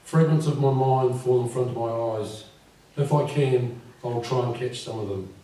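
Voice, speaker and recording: A man in his fifties with a croaky voice, reading his poems at a live event and speaking gently and unhurriedly. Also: mid-range voice, moderate pace. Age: 50s. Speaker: poet. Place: live venue with audience. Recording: echoey room from far off.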